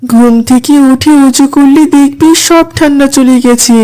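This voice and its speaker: A woman's voice: high voice, fairly even intonation, normal speed, dark tone, close to an everyday voice, poor audio. A woman voicing an earnest, polite young schoolboy in a children's moral cartoon. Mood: urging.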